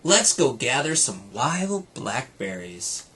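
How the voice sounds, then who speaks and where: A man's voice: mid-range voice, very animated, takes it slowly, fairly bright tone, full character voice. A man voicing an impatient young girl bear cub from a children's storybook. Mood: suggesting.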